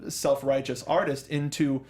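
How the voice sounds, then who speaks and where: A man's voice: medium pitch, fairly even intonation, a little slow, a little mellow. A man hosting a casual film review discussion, often rephrasing himself and joking as he goes. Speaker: talk show host.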